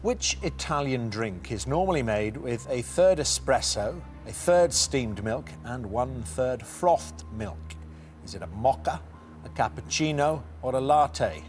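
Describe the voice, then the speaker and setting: A middle-aged man hosting a TV quiz in a studio, reading questions briskly and teasing the contestants. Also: mid-range voice, animated, soft background music. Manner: brisk, teasing, reads multiple-choice questions. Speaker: quiz show host.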